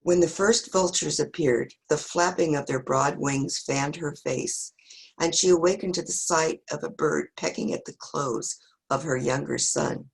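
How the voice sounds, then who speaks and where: A woman's voice: low-pitched, a little slow, gravelly, husky, poor audio. A woman reading a scripted, dramatic narration of a Bible story as a church reader.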